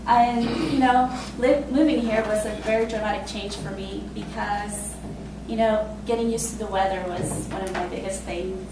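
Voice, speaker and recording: Woman, twenties, from Costa Rica, casual personal story, halting with fillers, distant mic, echoey room, background noise. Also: medium pitch, steady tone. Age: 20s. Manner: casual, halting, laughs often, many fillers. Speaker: immigrant telling her story. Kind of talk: personal spoken testimony.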